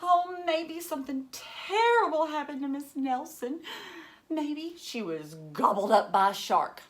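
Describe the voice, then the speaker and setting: Lady doing worked-up little school kids from a picture book, high voice, big exclamations. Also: lively intonation, measured pace. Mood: wild guessing. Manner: gasping, exaggerated exclamations. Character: excitable, anxious schoolchildren guessing and exclaiming.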